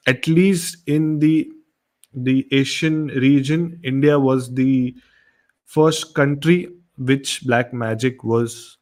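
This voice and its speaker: A man talking casually as he introduces a segment on a horror radio show. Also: mid-range voice.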